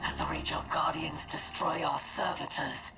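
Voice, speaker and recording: A proud alien leader speaks in a very low, breathy voice. The game character is guarded, slightly distant beneath loud music. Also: female voice, fairly even intonation, moderate pace. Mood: guarded, accusing.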